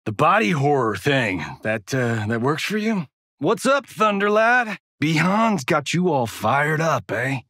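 A man's voice: fairly high pitch, very animated, a little slow, pushed and bright, dry recording, studio quality, exaggerated voice. An actor playing a cocky, wisecracking male Hollywood action star in a fighting video game, with a smug, flippant, showboating delivery. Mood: teasing, flippant.

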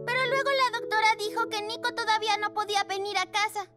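A woman voicing a sweet, excitable little pug girl of almost five in a children's cartoon, with a childlike, exclaiming manner. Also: very high-pitched, quick pace. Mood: sad. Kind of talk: animated children's cartoon dub. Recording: music behind the voice.

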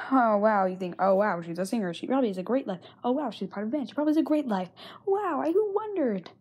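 A young woman playing a frightened, self-doubting young singer in a halting diary monologue, often dropping to a whisper. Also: fairly high pitch, expressive, darkish tone, slightly rough. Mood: bitter, sarcastic. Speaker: amateur voice actor. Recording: noisy makeshift amateur microphone recording.

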